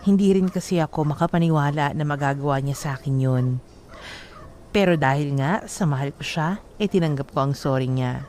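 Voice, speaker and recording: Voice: mid-range voice, fairly quick, a little mellow, a little hiss or hum. A narrator reading a listener's love-story letter aloud in earnest, emotional storytelling on a radio drama program.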